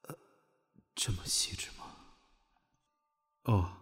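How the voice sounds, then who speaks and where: A man's voice: slow, a bit bright, in a whisper. A man acting a teasing, clingy young male officer in an audio drama, coaxing his comrade playfully.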